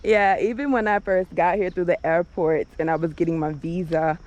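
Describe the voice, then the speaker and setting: A woman's voice: on the low side, normal speed, highs cut off. A woman chatting casually while walking through an outdoor park, laughing often and saying "like" a lot. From United States. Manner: relaxed, laughs often, lots of filler "like". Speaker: travel vlogger.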